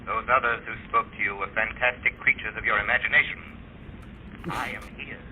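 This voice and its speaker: An actor playing a mysterious, commanding invisible avenger in an old radio thriller, menacing and taunting in a deliberate manner. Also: male voice, on the low side, steady tone, sharp tone. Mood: eerie calm. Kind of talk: 1940s radio drama acting. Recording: noisy, muffled old-time radio recording.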